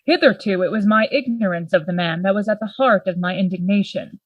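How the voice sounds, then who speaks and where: A woman playing a grave, worried gentleman lawyer in a Gothic radio play, formal and earnest in manner. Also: mid-range voice, brisk, no echo, dull audio, lightly acted. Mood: grave, indignant.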